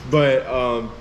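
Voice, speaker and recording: Animated young man from Chicago. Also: mid-range voice, muffled recording, slight background noise. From Chicago, United States. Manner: animated, laughs often, heavy use of "like". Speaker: musician guest. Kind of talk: casual interview chat.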